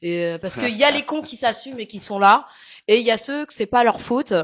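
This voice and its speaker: A woman's voice: fairly high pitch, animated, a little fast, fairly bright tone. A young woman with a Parisian French accent, a massage therapist chatting frankly in an interview, with many fillers and jokes. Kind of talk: casual interview chat.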